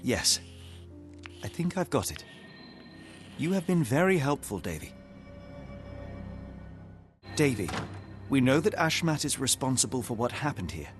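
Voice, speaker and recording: An actor playing a composed, analytical British detective in a video game, speaking in a measured, formal way. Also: male voice, mid-range voice, sing-song, faint music behind. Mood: calm, coaxing. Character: composed, analytical young gentleman detective, coolly persuasive.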